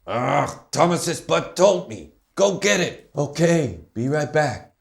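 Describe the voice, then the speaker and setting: A man's voice: fairly high pitch, very animated, slow pace, vocal fry, heavily acted. A man voicing grumbling, pestering bathroom-object puppets in a fan-dubbed comic dialogue.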